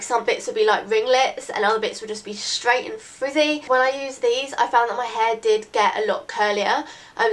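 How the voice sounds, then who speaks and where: A slightly high-voiced girl in her late teens, talking to her camera from her bedroom. She sounds bunged up from a recent cold. She rambles in a friendly way, full of "like" and "really, really". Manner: chatty, rambling, lots of "like" and "really, really". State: congested from a cold. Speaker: beauty vlogger. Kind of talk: casual chat to camera. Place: bedroom, indoors. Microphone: camera in front at desk distance.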